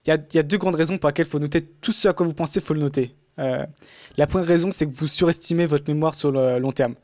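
A man's voice: a little high, fast talker, a little mellow, no room sound, clean studio sound. A man talking casually in a podcast conversation, rambling with many fillers and restarts.

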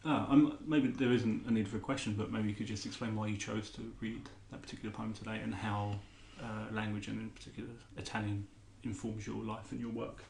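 A man hosts a podcast interview, asking long questions that wander as he searches for words. His voice sits on the low side. The room around him sounds echoey. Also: fairly even intonation, brisk, vocal fry, few pauses, a little mellow, a little hiss or hum. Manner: rambling, searching questions with fillers. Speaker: podcast host.

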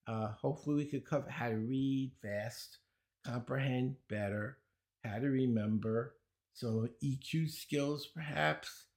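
A man's voice: medium pitch, a little mellow. Wisecracking older Brooklyn man. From Brooklyn, New York. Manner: wisecracking, chuckles at his own jokes, rapid run of credentials. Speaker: guest, speed-reading teacher. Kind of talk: casual interview answers.

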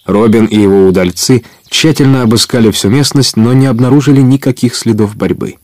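A man's voice: mid-range voice, brisk, darkish tone, dry recording. A man narrating an adventure audio drama in a scripted storytelling style.

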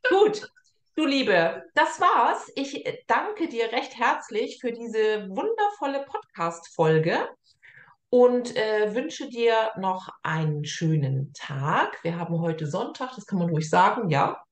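A roomy, echoing recording of a woman hosting a casual interview. Her voice is fairly high and very animated in pitch, at an unhurried pace, with a familiar, effusive manner. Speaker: podcast host, interviewer.

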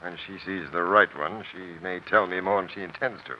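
Police captain male actor: American, authoritative, clipped interrogator, fairly low voice, 1940s crime serial on a muffled old broadcast. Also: fairly even intonation, brisk, a little hiss or hum, natural voice. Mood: businesslike. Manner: clipped, commanding. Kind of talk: 1940s radio acting.

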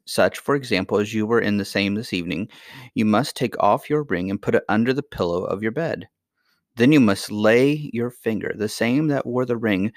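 A man voicing a kindly, mysterious grandmother in a fairy tale, gentle, patient and reassuring. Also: lowish voice, no echo, clean studio sound, lightly acted. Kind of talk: acted dialogue in a children's fairy tale reading.

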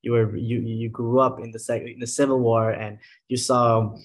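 A man's voice: medium pitch, normal speed. Young Nepali man telling his story animatedly. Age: 20s. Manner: animated storytelling with many "like" fillers and restarts. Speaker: guest. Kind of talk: casual interview conversation.

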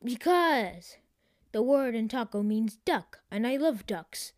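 Woman acting out cocky goofy Martian, storybook alien, boastful, melodramatic, high-pitched, dry close sound. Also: lively intonation, takes it slowly, a little mellow, dry recording. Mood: giddy.

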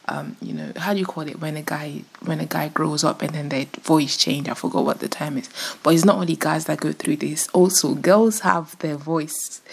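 Woman in her twenties, rough deep voice, rambling casual podcast talk, breathy tone. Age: 20s. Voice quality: deeper, rough voice. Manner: chatty, rambling, giggly. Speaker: podcast host. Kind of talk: casual solo podcast chat.

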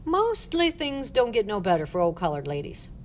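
A woman's voice: medium pitch, very animated, moderate pace, lightly acted. A woman voicing a protective old Southern US woman in an audiobook, with folksy dialect and noise in the background. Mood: tender, sad. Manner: soothing, folksy dialect, firm when protecting. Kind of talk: audiobook character voice.

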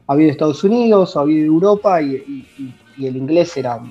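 A man's voice: a little high, lively intonation, fast, dark tone, muffled recording, faint music behind. A man from Buenos Aires province with a Rioplatense Spanish accent, being interviewed over a remote call and talking in an easygoing, rambling way. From Buenos Aires province, Argentina. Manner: easygoing, talks in loose rambling runs. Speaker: interview guest.

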